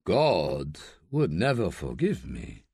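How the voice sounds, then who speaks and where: A man voicing a volatile, secretive older father, talking slowly and deliberately. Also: low voice, wildly swooping pitch, vocal fry, darkish tone, breathy edge, dry recording, studio quality, voice pushed down, exaggerated voice. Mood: gruff, mocking.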